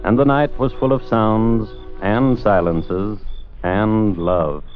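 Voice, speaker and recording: A man hosting and narrating a scripted old-time radio true-crime show in a deliberate, dry, literary way. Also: lowish voice. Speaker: host and narrator. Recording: muffled vintage radio recording with music.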